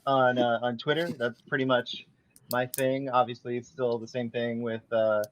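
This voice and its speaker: Relaxed male podcast guest, lo-fi mic. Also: mid-range voice, normal speed, background noise. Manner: friendly, relaxed, hesitant with fillers. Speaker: podcast guest, voice actor and artist. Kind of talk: casual podcast chat.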